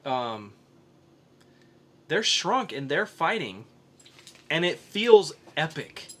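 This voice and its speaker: A man's voice: fairly high pitch, sing-song, slow pace. A man hosting a casual film review podcast, explaining his opinions as he goes. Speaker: podcast host.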